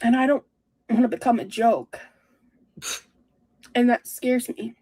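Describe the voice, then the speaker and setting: Mellow, hesitant woman, dry sound. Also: on the high side, fairly even intonation, husky, no echo, low-quality recording. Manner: hesitant, halting, introspective. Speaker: vlogger. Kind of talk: confessional personal talk.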